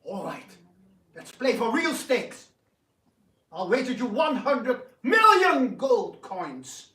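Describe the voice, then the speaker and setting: Proud, sly prince played by a man, stage drama; very animated intonation, roomy distant recording. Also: extremely high pitch, slow, exaggerated voice. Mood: outraged. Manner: theatrical, smug, feigned politeness. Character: proud scheming prince, sly chess gambler, sore loser. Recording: roomy distant microphone, faint noise.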